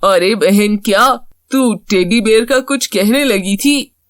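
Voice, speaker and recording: A woman's voice: medium pitch, slow pace, no echo, close to an everyday voice. A woman voicing a cunning, nosy, greedy neighbour in a children's cartoon, prying and exclaiming in an exaggerated way. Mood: nosy, sly. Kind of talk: Hindi children's cartoon voice acting.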